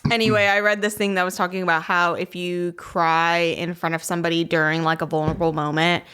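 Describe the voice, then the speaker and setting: A woman's voice: on the low side, flat delivery, fairly quick, a bit bright, smooth. A woman co-hosting a podcast in casual comedic chat, blunt and sardonic, swearing often. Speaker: podcast co-host.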